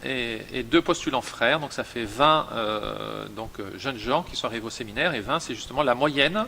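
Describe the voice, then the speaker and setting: A man who directs a seminary, explaining its history and numbers in a measured, hesitant way with many fillers. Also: mid-range voice, creaky, sharp tone, slight background noise. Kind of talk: explanatory interview.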